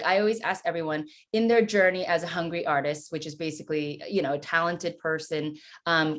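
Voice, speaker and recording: Woman interview host, slightly low voice, chatty and encouraging, pretty informal. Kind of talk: casual interview conversation.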